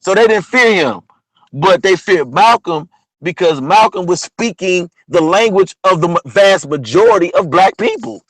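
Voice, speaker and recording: A man with a partly lost, hoarse voice, talking casually about history as host of an online show, often asking "you know what I'm saying?". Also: high-pitched, expressive, moderate pace, fairly bright tone, no room sound, rough recording. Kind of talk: casual history talk monologue.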